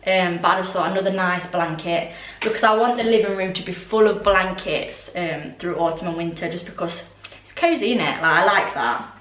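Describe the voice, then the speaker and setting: A young woman with a voice going hoarse, chatting casually through a home haul with lots of ums and asides. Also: fairly low pitch, moderate pace, a bit bright, roomy sound, far from the mic. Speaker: vlogger. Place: orangery at home, indoors.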